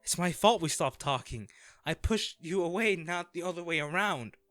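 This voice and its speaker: A man acting in an audio roleplay as an anxious, self-deprecating young man, a caring old crush who talks haltingly and intimately to the listener. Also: a little high, lively intonation, fairly bright tone, no echo, faint music behind. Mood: upset, emotional. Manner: hesitant, halting, sighing.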